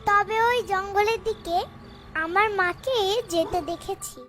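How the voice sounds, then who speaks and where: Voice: very high-pitched, a little slow, bright, forceful tone, soft background music, heavily acted. A performer voicing a sad, lost little girl in a cartoon story, small-voiced and plaintive.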